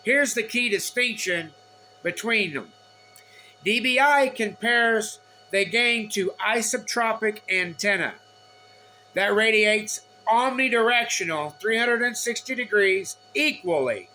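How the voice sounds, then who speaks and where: Male explainer, high and bright voice, informal, choppy note-reading delivery, slightly noisy recording. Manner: halting, repetitive, reads in short phrases. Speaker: explainer of radio antenna measurements.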